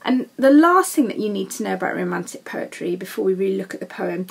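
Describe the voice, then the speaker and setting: A woman gives a recorded lesson as a teacher, in a husky voice of medium pitch. She explains things informally and speaks straight to her students. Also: expressive, fast. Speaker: English literature teacher. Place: voice-over for a slide lesson.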